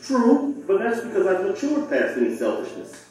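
An actor playing a pompous, ambitious young class politician in a theatrical audio drama, declaiming formally. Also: male voice, high voice, wildly swooping pitch, normal speed, a little mellow. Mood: self-important. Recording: drama playback in echoey room, distant.

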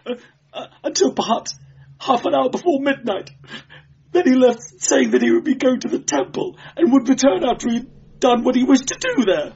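A man's voice: medium pitch, big pitch swings, slow pace, hardly any pauses, slightly rough, lo-fi sound, exaggerated voice. A man voicing a terrified, cringing clerk who stammers and whimpers shrilly in an audiobook story. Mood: whimpering. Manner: hysterical, sobbing.

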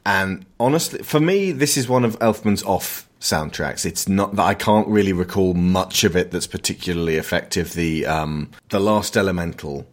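A man's voice: low-pitched, moderate pace, few pauses. A man hosting a casual film discussion, giving his opinions and wandering into digressions. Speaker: podcast host discussing films.

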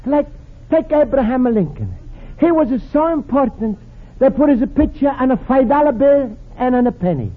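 A man's voice: extremely high pitch, lively intonation, normal speed, husky, phone-quality sound, full character voice. An actor playing a sweet, naive Italian immigrant man with an Italian accent and broken English, in an old radio comedy. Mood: wistful. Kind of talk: 1950s radio comedy acting. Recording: old radio broadcast, studio audience.

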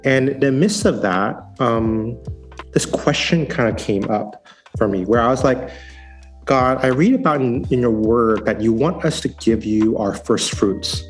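A man's voice: mid-range voice, a little slow, soft background music. A young adult man telling his life story in a casual, earnest way, acting out what he and others were thinking. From born in San Francisco, raised partly in Hong Kong. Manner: earnest storytelling with acted-out inner quotes. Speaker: guest, former software engineer turned digital missionary. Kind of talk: casual personal testimony.

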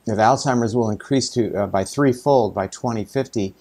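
A man's voice: on the low side, normal speed, a little mellow. A man hosting a podcast, asking questions in a conversational way with many fillers. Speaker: podcast host. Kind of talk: interview questions.

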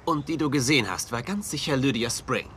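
An actor playing an eccentric, intrusive detective who talks in a wordy, eager, theatrical way in a dubbed mystery drama. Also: male voice, medium pitch, animated, brisk, a bit bright, a little hiss or hum, lightly acted. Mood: eager, fascinated.

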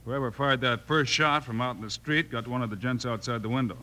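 Western radio hero, played by a man: American lawman, forceful mid-range voice, steady, faint hiss. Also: mid-range voice, brisk, close to an everyday voice. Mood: determined. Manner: calm, authoritative. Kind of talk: 1950s western radio acting. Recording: faintly noisy old radio recording.

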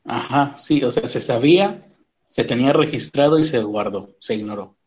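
A man's voice: medium pitch, moderate pace. A man, chatting casually, asking questions and joking lightly. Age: 30s. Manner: conversational, asks questions, jokes lightly. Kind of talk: casual chat.

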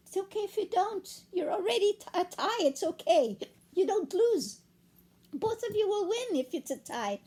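A woman doing a soft-spoken kindly ghost. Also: extremely high pitch, very animated, normal speed, pitched above the performer's own voice. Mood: gentle. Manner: soft, coaxing. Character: kind encouraging friendly ghost.

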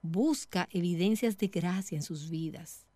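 A middle-aged woman giving a devotional teaching talk as a Bible teacher, speaking in a measured, earnest way directly to the listener. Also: lowish voice, warm tone, dry recording.

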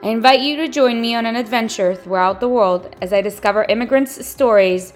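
A middle-aged woman, originally from a former Soviet republic, hosting a podcast with a clear, steady delivery. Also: a little high, a little fast, soft background music. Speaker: podcast host. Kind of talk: scripted intro, then interview.

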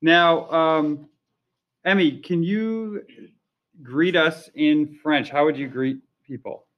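Male host for a casual language interview, curious and friendly, voice a bit high, dull lo-fi sound. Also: slow. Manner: friendly, curious, many fillers. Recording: dull lo-fi recording.

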